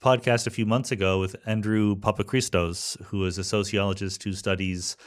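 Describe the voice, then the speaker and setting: A man's voice: lowish voice, steady tone, moderate pace. An American man hosting a podcast, asking questions in a thoughtful, informal, conversational way. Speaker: podcast host. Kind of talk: conversational interviewing.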